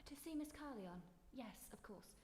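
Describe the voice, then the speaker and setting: A woman's voice: on the high side, expressive, moderate pace, a little hiss or hum, natural voice. A woman playing a discreet, kindly hospital nurse in a live radio drama. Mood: hushed, kindly. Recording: echoey hall, quiet and distant.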